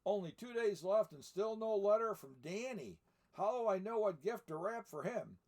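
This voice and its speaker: A man's voice: high-pitched, lively intonation, normal speed, a little mellow, voice pushed up. Man reading as earnest, anxious young girl elf. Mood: worried. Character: eager, anxious young female elf helper.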